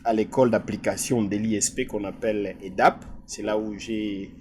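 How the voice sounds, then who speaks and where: A man's voice: on the low side, steady tone, moderate pace. A middle-aged man from DR Congo, a physician guest answering interview questions with many hesitations. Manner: hesitant, many filler sounds.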